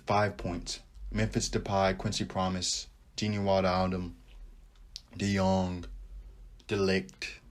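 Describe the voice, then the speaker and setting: A young man hosting a sports podcast, talking casually and rambling with animated asides as he thinks out loud. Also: fairly low pitch. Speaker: podcast host. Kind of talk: casual sports talk monologue.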